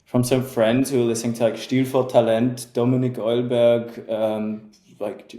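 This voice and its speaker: A young man in his twenties talks about making music in a relaxed, halting way, full of fillers and self-corrections. His voice is soft and mellow. The room around him is noticeably echoey. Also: mid-range voice. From England. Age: 20s. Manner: hesitant, many fillers and restarts. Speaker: music producer guest. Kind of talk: casual interview chat.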